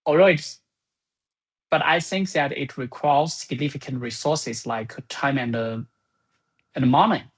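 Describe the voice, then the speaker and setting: A slow-talking speaker with a husky, slightly rough voice at a medium pitch. The delivery is informal and halting, like someone thinking aloud while going through meeting notes on a project. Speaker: software creator presenting business plan.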